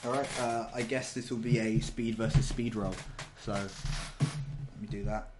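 A low-voiced man acts as game master on a voice call. He talks loosely, trips over his words and swears offhandedly while narrating and calling rolls. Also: steady tone, normal speed, noisy background. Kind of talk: running a tabletop game online.

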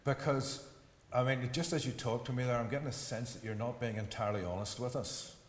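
A man's voice: mid-range voice, fairly even intonation, fairly quick. A man hosting a comedy interview on stage, teasing his guest and playing dumb. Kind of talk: joking onstage banter. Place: hall with live audience. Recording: echoey hall with noise.

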